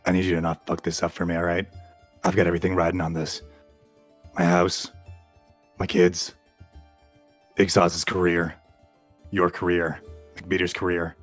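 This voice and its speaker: A man's voice: deep voice, completely flat delivery, moderate pace, long pauses, faint music behind. A man voicing a desperate, pushy character who has everything riding on one plan. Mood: intense.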